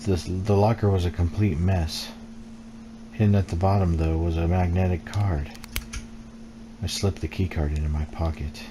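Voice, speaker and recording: A man's voice: low-pitched, steady tone, normal speed, long pauses. A man reading the text of a horror game aloud as scripted storytelling while streaming it. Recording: clear background noise.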